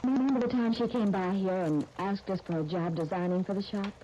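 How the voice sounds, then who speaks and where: A woman's voice: mid-range voice, a little fast, mellow, lightly acted. An actress playing an earnest, patient American career woman in her thirties in a 1930s radio serial. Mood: earnest, hesitant. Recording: dull, noisy 1930s radio recording.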